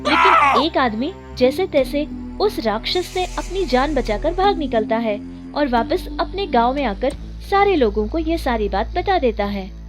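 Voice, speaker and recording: A woman narrating a children's cartoon story in a steady storytelling manner. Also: sits high, measured pace, faint music behind.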